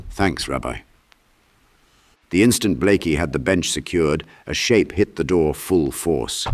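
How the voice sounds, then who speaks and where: A man's voice: low-pitched, very noisy. A man reading dramatic scripted horror narration as an audiobook narrator.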